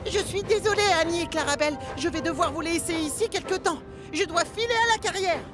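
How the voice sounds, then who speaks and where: A woman's voice: very high voice, brisk. A woman voicing a cheeky, mischievous young male locomotive in a children's cartoon. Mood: apologetic, polite. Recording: music behind the voice.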